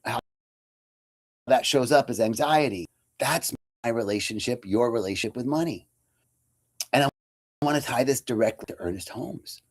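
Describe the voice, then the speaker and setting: A man's voice: medium pitch, expressive, moderate pace, pauses often. A man giving a casual, conversational inspirational talk as a spiritual teacher on a computer webcam livestream. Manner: casual and conversational, with lots of fillers.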